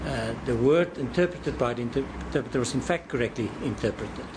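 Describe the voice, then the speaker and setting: Deliberate probing male counsel. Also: mid-range voice, noisy background. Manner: deliberate, repeats words while probing. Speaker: cross-examining counsel. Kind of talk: courtroom cross-examination.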